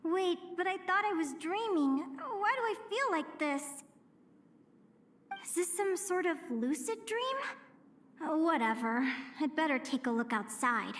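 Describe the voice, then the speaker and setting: A woman voicing a dreamy, excitable young girl adventurer in a video game, expressively musing aloud. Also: very high-pitched, measured pace, slight background noise, full character voice. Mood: puzzled musing. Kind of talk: video game story voice acting.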